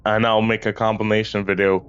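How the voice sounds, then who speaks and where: Hoarse man, casual gaming chat, rambling, low voice, dull lo-fi mic. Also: little pitch movement, a little fast. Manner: rambling, full of ums. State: slightly hoarse from a cough. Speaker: gaming YouTuber. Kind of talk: casual chat over gameplay.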